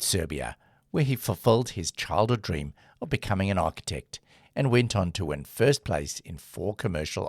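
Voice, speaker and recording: Deep, lively male podcast host. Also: dry recording, clean studio sound. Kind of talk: podcast interview, scripted intro.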